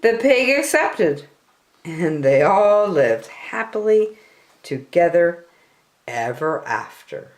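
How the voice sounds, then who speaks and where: Slow, expressive older woman reading a storybook. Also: lowish voice. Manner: deliberate, emphatic storytelling for small children. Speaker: story time reader. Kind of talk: expressive children's picture book read-aloud. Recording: roomy home recording.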